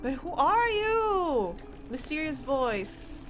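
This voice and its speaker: A woman's voice: sits high, very animated, takes it slowly, fairly bright tone, slight background noise. A woman streamer chatting playfully and thinking aloud while she plays, sometimes reading in-game text aloud. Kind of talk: gameplay commentary.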